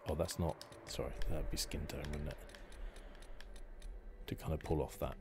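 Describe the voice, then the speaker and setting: A man giving casual ASMR commentary on a game playthrough in a hushed, rambling, musing manner. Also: rumbling low, level tone, moderate pace, background noise. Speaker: gaming video host.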